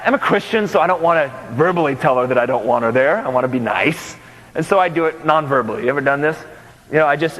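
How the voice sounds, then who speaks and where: A man preaching a story in the first person, animated and conversational, in a large hall. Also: fairly high pitch. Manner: animated, conversational, with acted dialogue. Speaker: pastor. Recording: large hall with long reverb.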